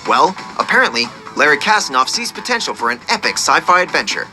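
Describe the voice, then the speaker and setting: Over prominent music, a man narrates a scripted countdown in a polished, wry way. His pitch is a little high and moves in a lively, animated range. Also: fast talker, poor audio. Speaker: video narrator. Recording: loud music under the voice.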